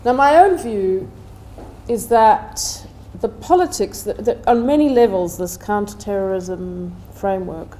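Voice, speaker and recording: A middle-aged woman delivering a prepared seminar talk as a panelist, with measured, deliberate phrasing. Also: mid-range voice, mellow. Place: indoor seminar room, seated at table.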